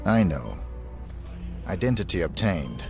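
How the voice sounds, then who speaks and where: An actor playing a cold hired assassin who speaks in a clipped, emotionless manner. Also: male voice, sits low, sing-song, measured pace, creaky, heavily acted. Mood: cold, terse. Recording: music over the voice.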